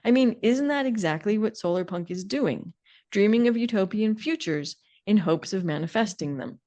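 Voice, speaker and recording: Wry woman podcaster, mid-pitched voice. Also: lively intonation, normal speed, warm tone. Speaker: podcast co-host. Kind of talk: conversational podcast discussion.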